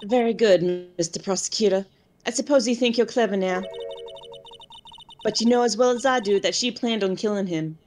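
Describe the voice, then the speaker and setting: A woman's voice: mid-range voice, moderate pace, a little mellow, faint music behind, lightly acted. A woman playing a haughty, theatrical lunch lady witness who talks down to others in a courtroom game dub. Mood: indignant. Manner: condescending, storytelling. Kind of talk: live fan dub of a courtroom video game.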